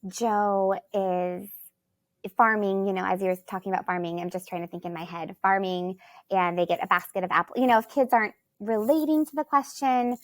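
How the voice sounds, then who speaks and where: A woman's voice: medium pitch, steady tone, moderate pace, dry recording. A woman from Alaska, a third-grade teacher and guest, thinking aloud in a rambling way with many restarts and "you know"s. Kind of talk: conversational interview answers.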